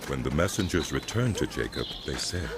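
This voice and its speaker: A man narrating a scripted Bible drama in a solemn, measured storytelling manner, with music behind him. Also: extremely low pitch, lively intonation, crowd noise.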